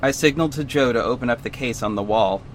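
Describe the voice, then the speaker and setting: Guy acting earnest superhero telling his own story, audio drama, measured serious delivery, mid voice, slight background noise. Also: mid-range voice, natural voice. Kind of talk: scripted first-person audio drama narration.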